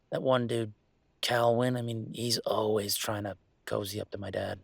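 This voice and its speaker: Casting: young male werewolf played by a man for boyfriend roleplay audio; gravelly fry, close dry sound, halting, affectionate, conversational delivery. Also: fairly low pitch, dry recording. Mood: uneasy, dismissive. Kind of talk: intimate audio roleplay acting, one-sided conversation.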